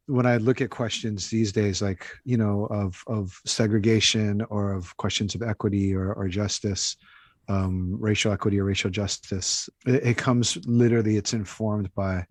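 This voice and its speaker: A man's voice: on the low side, little pitch movement, normal speed, darkish tone, no echo. An American man speaking casually and reflectively as an interview guest, often dropping in "you know" and "right" as he thinks. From Hawaii, United States. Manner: conversational, thoughtful, many hedging asides like "you know" and "right". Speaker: interview guest, author and activist.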